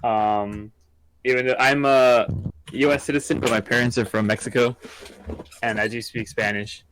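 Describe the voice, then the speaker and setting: A young college-age man from California chatting casually as a guest, halting and self-effacing. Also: medium pitch, fairly even intonation, noisy background. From San Diego, California. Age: young adult, college student. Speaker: talk show guest. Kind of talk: casual chat.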